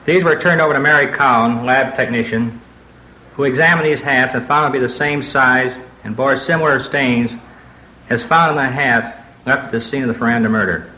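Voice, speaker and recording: A man's voice: mid-range voice, moderate pace, sharp tone. A man speaking as a homicide detective, reading formal scripted testimony on 1940s radio. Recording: echoey old radio recording, faint noise.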